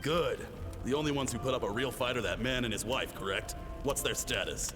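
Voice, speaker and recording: A man's voice: medium pitch, a little fast, close to an everyday voice. A man playing a cruel, cold, contemptuous military commander in a video game. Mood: menacing, smug. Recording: long reverb, clear background music.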